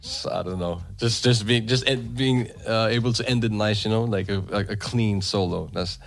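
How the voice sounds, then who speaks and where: A man in his thirties, raised in Denmark in a Filipino family, talking casually about music on a radio show, relaxed and laughing often. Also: on the low side, moderate pace, gravelly. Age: 30s. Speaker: DJ and event promoter.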